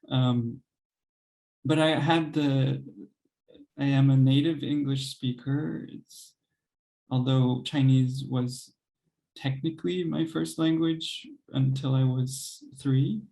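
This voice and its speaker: A young man and composer, speaking thoughtfully in an interview, hesitant with many pauses and soft laughs. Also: mid-range voice, gravelly, rough recording. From United States. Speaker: composer and pianist guest. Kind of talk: reflective interview conversation.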